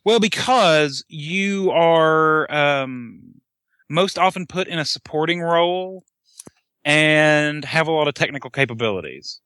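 A man's voice: fairly high pitch, measured pace, bright, forceful tone, clean tone, dry recording, studio quality. A young man co-hosting a casual podcast chat, hesitant and full of ums. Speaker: podcast co-host.

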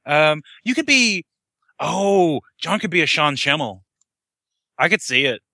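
A man's voice: fairly high pitch, big pitch swings, a little slow, gravelly, sharp tone, no echo, studio quality. A young man co-hosting a casual podcast chat, hesitant and full of ums. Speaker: podcast co-host.

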